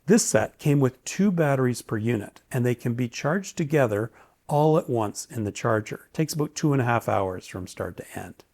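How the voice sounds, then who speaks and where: A man's voice: mid-range voice, lively intonation, moderate pace, a little mellow. A man giving a casual, opinionated, lightly joking product review. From Canada. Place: indoors, home study.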